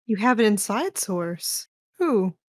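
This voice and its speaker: A woman's voice: mid-range voice, big pitch swings, takes it slowly, dry recording, clean studio sound. A woman playing a cool, ruthless agent in an audio drama, clipped, businesslike and condescending. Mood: cool, curt.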